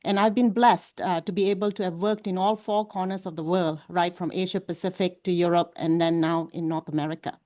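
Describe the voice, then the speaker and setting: A woman's voice: lowish voice, fairly even intonation, fairly quick, few pauses, darkish tone. A woman introducing herself as a panelist in a business panel discussion, fluent with occasional fillers.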